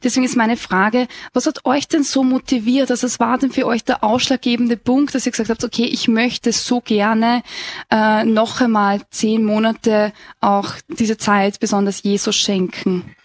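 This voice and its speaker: A woman's voice: fairly high pitch, little pitch movement, normal speed, no room sound. Woman radio presenter asking questions. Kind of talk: live radio interview. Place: bookshop café.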